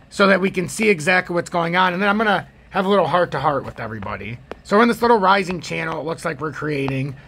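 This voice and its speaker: An American man with a gravelly, husky voice giving casual, rambling, opinionated chart commentary as a trading video host. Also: high-pitched, pushed and bright, slight background noise.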